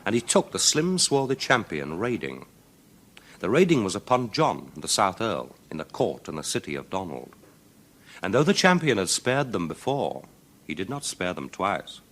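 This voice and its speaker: A man's voice: low-pitched, sing-song, moderate pace, fairly bright tone. A man narrating a children's folk tale in a theatrical, declamatory and rhythmic way. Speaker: storyteller. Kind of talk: dramatic children's storybook narration.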